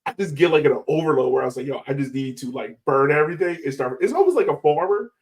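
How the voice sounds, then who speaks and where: A man's voice: fairly high pitch, lively intonation, fast talker. A man hosting a podcast as a casual interview chat, speaking in an informal, slangy way. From Jamaican heritage. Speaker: podcast host.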